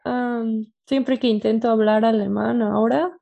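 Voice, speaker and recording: A woman's voice: on the high side, fairly even intonation, normal speed, gravelly, mellow. A woman with a Mexican accent, a native Spanish speaker, chatting casually and hesitantly as she searches for words. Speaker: interviewee. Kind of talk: casual chat.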